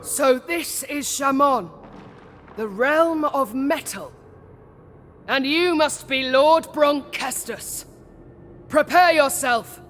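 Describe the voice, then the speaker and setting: An actress playing a proud, righteous holy warrior in a fantasy game, solemn, commanding and declamatory. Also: female voice, sits high, takes it slowly, pauses often, a bit bright, long reverb tail, faint music behind. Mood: defiant. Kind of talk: dramatic fantasy game acting.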